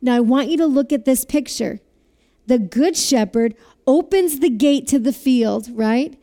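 A woman preaches a sermon in a high, mellow voice. She has a loud voice and keeps asking her listeners to repeat lines back to her. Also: unhurried. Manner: asks the audience to repeat phrases.

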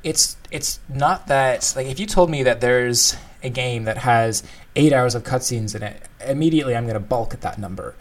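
A man's voice: medium pitch, moderate pace, hardly any pauses. A man co-hosting a casual discussion, explaining his thoughts in a thoughtful, conversational way. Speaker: podcast co-host discussing game design.

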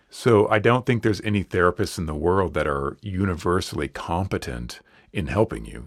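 A man hosting a solo podcast, talking in an earnest, reassuring and plainspoken way while hoarse from a cold and losing his voice. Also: deep voice, dry recording, clean studio sound. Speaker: podcast host.

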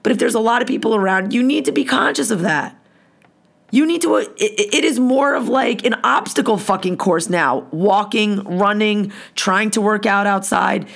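Hoarse woman host, gravelly, blunt and foul-mouthed, heated rant delivery. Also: mid-range voice, normal speed. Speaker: podcast host. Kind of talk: angry profane rant.

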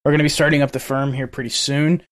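A man's voice: medium pitch, little pitch movement, quick pace. A man co-hosting a podcast in casual chat, rambling and laughing often between thoughts. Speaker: podcast co-host.

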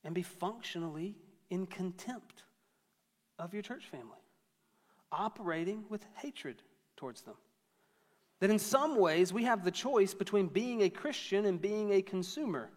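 A man's voice: high voice, lively intonation, a little slow, in a large hall. A man in his thirties preaching a sermon to a church, conversational and storytelling with self-deprecating humor. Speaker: pastor.

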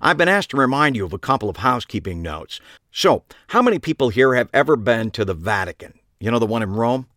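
A smiling male television host as a narrator plays him addresses a crowd in an audiobook. His delivery is chatty and projected, with very lively pitch and a dry, close sound. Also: quick pace, no room sound. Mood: upbeat.

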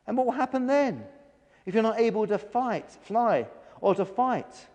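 A man voicing a reasonable, earnest gull in a children's story, gently scolding a friend. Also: sits high, big pitch swings, normal speed, long reverb tail, highs cut off, voice pushed up. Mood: earnest persuasion.

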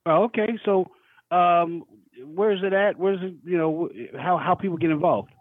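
Higher-voiced male host, thin phone sound. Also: normal speed, dry recording, phone-quality sound. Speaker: radio host. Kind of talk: casual radio chat.

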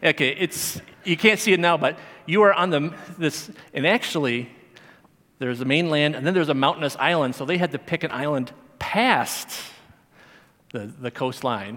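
Male lay teacher, mid-pitched, casual sermon delivery, long church reverb. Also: a bit bright. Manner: conversational, chatty, with frequent fillers and restarts. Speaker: Sunday school teacher. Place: church sanctuary.

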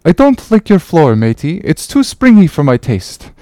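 A man's voice: on the high side, wildly swooping pitch, moderate pace, dark tone, no room sound, voice pushed up. A man voicing a dry, grumbling sailor who wryly declines to dance. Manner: wry, reluctant.

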